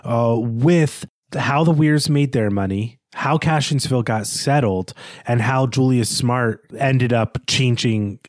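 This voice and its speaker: Guy on a book podcast, kinda halting, repeats words to make his point, normal pitch, really dry audio. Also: no echo. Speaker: podcast host. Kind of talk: literary discussion.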